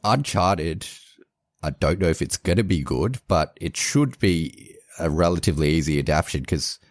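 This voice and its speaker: Very deep-voiced man, dry. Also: expressive, no room sound. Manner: measured, thinks aloud with restarts. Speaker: podcast co-host. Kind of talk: casual chat.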